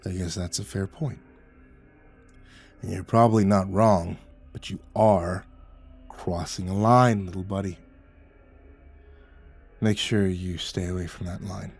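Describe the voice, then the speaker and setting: A man voicing a boozy old male war veteran who talks in a low, muttering, menacing way. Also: steady tone, a little slow, pauses often, husky, soft background music, full character voice.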